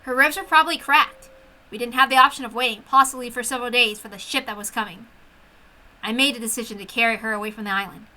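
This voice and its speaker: High, bright-voiced woman reading a story. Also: fairly even intonation, quick pace, rough recording. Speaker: story reader. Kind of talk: dramatic narration of a visual novel with casual asides.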